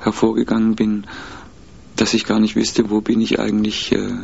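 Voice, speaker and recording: A low, gravelly man with a husky edge to his voice, answering interview questions in a measured, hesitant way. He speaks in a flat, even tone and pauses often to think. The recording is dull and lo-fi. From mixed German, Spanish, Italian and Peruvian roots. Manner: measured, hesitant, many fillers.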